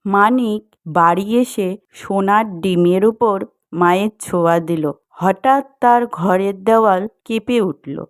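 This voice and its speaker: Slow-paced woman narrating a fairy tale. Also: mid-range voice, dry recording. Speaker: storyteller, narrator. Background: music.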